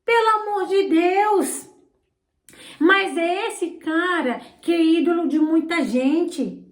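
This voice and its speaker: Non-native woman host with a very high voice, indignant and sarcastic, recorded in an echoey room. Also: takes it slowly. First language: not Portuguese. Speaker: channel host, political commentator. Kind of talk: opinionated political commentary. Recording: roomy echo.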